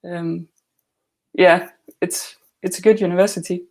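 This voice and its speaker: A woman from Denmark with a Danish accent, answering interview questions in a measured, understated, conversational way. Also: fairly low pitch, fairly even intonation, darkish tone. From northern Denmark. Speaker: guest, glassblower and student.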